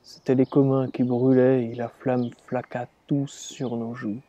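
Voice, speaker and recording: A man reciting a dramatic monologue as a dying rebel slave, in grave, deliberate, measured phrases. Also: mid-range voice, little pitch movement, dark tone, muffled recording. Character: dying rebel slave reliving his revolt, grave and defiant. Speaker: reader performing a dramatic monologue. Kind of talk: slow literary dramatic recitation.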